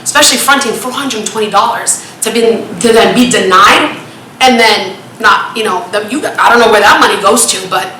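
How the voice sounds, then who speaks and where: A woman speaking up at a town planning board hearing, asking pointed questions in a loud, rambling way. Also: medium pitch, normal speed. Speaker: resident speaking at public hearing. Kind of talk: public comment at a town meeting. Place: town hall meeting room. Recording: echoey meeting room with light noise.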